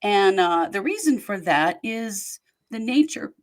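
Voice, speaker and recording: A woman's voice: medium pitch, very animated, a little slow. A woman hosting a podcast, talking in a chatty, rambling way and laughing easily. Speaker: host. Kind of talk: casual podcast chat.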